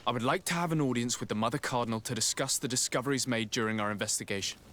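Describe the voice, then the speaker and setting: A man's voice: medium pitch, very fast, fairly bright tone, slight background noise, close to an everyday voice. A man voicing a composed, diplomatic emissary in a video game, polite, formal and probing.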